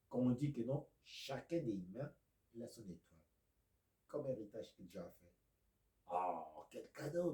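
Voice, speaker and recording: Male preacher from Congo, Lingala and Kikongo speaker, slow delivery with sudden near-whispers, mellow voice, echoey room. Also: on the low side. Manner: slow, swings from loud declaiming to near-whispers. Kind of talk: video sermon.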